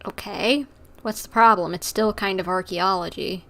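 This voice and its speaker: A woman's voice: medium pitch, fairly even intonation, moderate pace, pushed and bright. Casual woman livestreaming a game. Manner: conversational, reacting aloud to characters. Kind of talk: casual live game commentary.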